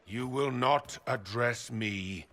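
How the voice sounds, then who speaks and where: An actor playing an arrogant, contemptuous templar commander in a fantasy game, speaking with haughty scorn. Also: male voice, mid-range voice, takes it slowly, fairly bright tone. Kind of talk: fantasy game cutscene acting.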